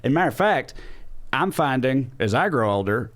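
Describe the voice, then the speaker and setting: A man's voice: medium pitch, big pitch swings, fairly quick, fairly bright tone. A man in casual comedic podcast chat, telling things in an informal, rambling, profane way. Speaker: podcast host.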